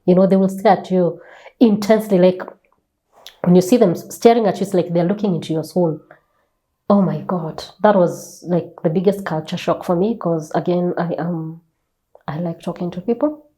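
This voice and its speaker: A woman's voice: fairly low pitch, normal speed, warm tone, low-quality recording. A Kenyan woman speaking as a guest in a conversational interview, telling stories emphatically, repeating phrases and asking rhetorical questions. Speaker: guest author. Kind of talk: animated conversational storytelling.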